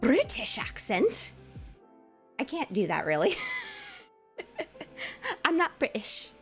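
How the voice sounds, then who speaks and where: A woman's voice: huge pitch swings, takes it slowly, soft background music. A woman in her twenties chatting casually as a VTuber streamer, in a lighter, put-on feminine voice, rambling with fillers and giggles. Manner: puts on a lighter, feminized voice above her own low one. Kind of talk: casual live-stream chat with viewers.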